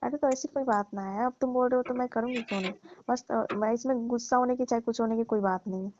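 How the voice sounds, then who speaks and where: A woman's voice: on the high side, fairly even intonation, fast, a little mellow, slight background noise, lightly acted. A woman playing a needy, wary middle-aged divorced mother in a casual phone conversation, talking hesitantly and colloquially with a complaining note. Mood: insistent. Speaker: actor in a staged phone call skit. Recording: muffled lo-fi phone call recording.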